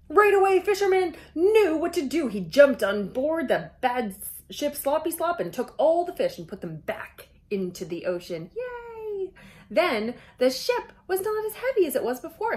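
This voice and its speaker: Female children's storyteller, thirties, fairly high voice, hugely varied intonation, expressive picture-book reading. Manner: expressive, exclamatory, dramatic emphasis. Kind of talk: animated picture-book read-aloud to children.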